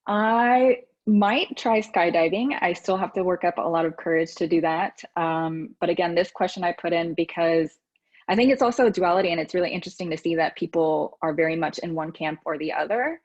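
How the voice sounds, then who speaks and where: A woman from Texas hosting a podcast, talking in a relaxed, chatty way with frequent ums and restarts. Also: fairly low pitch, brisk. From United States. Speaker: podcast host. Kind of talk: casual conversational interview. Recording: dull lo-fi audio.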